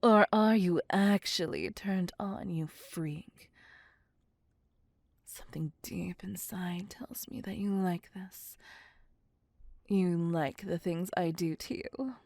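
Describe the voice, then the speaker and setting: A woman's voice: lowish voice, slow pace, a little mellow, no room sound, clean studio sound. A woman voicing a possessive, dominant vampire seductress in an intimate ASMR roleplay, teasing and commanding as she drifts into whispers. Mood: possessive, seductive. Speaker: voice actor.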